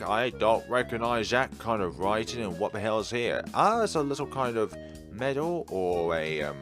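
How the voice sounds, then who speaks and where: A man hosting a gaming video, giving rambling commentary and reading game text aloud. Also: fairly low pitch. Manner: rambling, musing asides.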